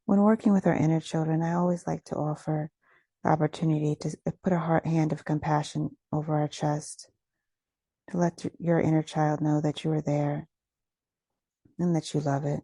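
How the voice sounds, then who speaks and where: A woman leading a guided meditation, giving gentle, soothing instructions with unhurried phrasing and pauses. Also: low voice, little pitch movement, breathy edge, no room sound.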